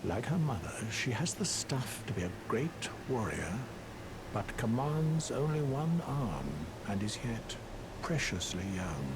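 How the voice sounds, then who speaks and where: A man's voice: medium pitch, sing-song, measured pace, noisy background. A man playing a grave, courtly sage of sorcery in a video game, with solemn, archaic phrasing. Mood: solemn, grateful. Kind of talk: video game character acting.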